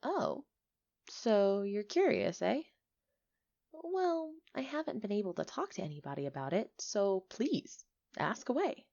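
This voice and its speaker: A woman's voice: mid-range voice, a little slow, gravelly. Woman acting a bubbly village alchemist, slightly muffled. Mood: eager, explaining. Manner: bubbly, expressive, slightly flustered chatter. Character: friendly, chatty, excitable female village alchemist. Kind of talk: ASMR roleplay acting, one-sided conversation.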